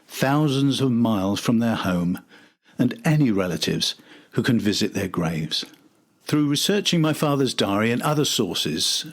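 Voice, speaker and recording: An older man reading a scripted documentary narration as the host and storyteller. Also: medium pitch.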